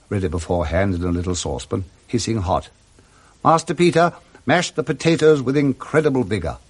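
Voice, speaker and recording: Man, British accent, radio drama narrator, medium pitch, scripted storytelling, expressive measured phrasing.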